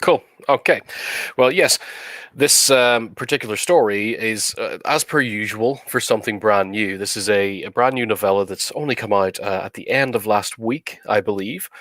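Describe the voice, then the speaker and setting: A man's voice: lowish voice, normal speed, no echo. A middle-aged man with a cold, hosting a live stream in casual chat with many fillers. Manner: relaxed, chatty, many fillers. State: has a cold. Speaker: livestream host.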